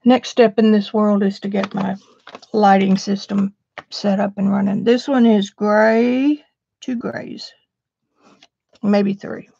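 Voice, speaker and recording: Sniffly woman with a gravelly voice. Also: medium pitch, steady tone, slow, long pauses, dark tone, highs cut off. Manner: chatty, describing supplies to viewers. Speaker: art teacher vlogger. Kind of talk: casual live stream chat.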